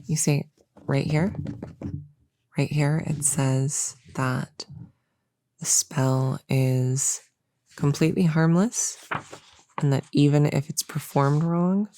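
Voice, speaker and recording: A woman acts out a young novice witch, eager but unsure, in an intimate audio roleplay. Her voice is mellow and softly creaky, with halting, reassuring phrases that often sink to a whisper. It is captured very close and dry. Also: low-pitched, steady tone, no room sound. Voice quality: soft, often dropping into whisper. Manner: halting, soft-spoken, hesitant and reassuring. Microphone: close-up mic in a dry, quiet space.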